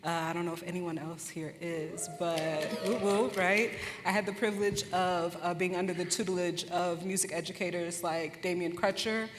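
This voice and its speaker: An American woman from Detroit talking informally and emphatically as a panelist, in a conversational, animated way. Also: on the low side, normal speed, few pauses, a little hiss or hum. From Detroit, United States. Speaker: panelist, musician. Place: conference hall. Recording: echoey hall with long reverb.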